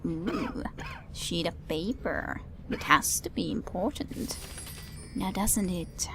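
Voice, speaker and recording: A woman's voice: on the low side, lively intonation, takes it slowly, creaky, background noise. A woman giving casual game commentary, musing aloud hesitantly and repeating her words. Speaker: let's play commentator.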